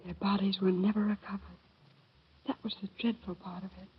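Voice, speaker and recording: An actress playing a calm, sly teenage girl telling a grave tale, in 1930s radio acting style. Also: medium pitch, a little fast, slightly rough. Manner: composed, soft, grave. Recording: thin phone-like old radio recording.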